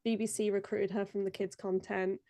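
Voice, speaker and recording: A woman's voice: medium pitch, level tone, moderate pace, dark tone, no room sound, clean studio sound. A young woman, a video editor guesting on a live stream, chatting casually and laughing often while sounding stuffed up from a cold. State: congested, stuffed up.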